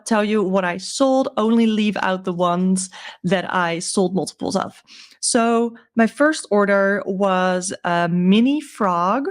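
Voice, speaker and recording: A woman with a croaky voice giving a casual, chatty recap as a vlog host, informal and a little hesitant as she thinks aloud. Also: mid-range voice. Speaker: crochet seller and video host.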